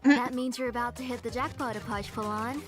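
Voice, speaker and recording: A woman voicing a gentle, caring mother in a video game, speaking politely and tenderly. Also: fairly high pitch, steady tone, brisk, soft background music, lightly acted. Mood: calm.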